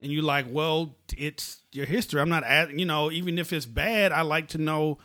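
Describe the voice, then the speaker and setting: Man, slightly high voice, blunt, storytelling, casual discussion. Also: steady tone, moderate pace, bright, forceful tone.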